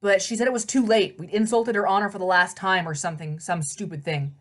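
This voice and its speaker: A woman's voice: mid-range voice, steady tone, a little fast, lightly acted. A woman voicing a scrappy, flippant teenage boy archer in a storybook, talking in an offhand, slangy way. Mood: offhand, annoyed. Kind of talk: children's novel read-aloud character voice.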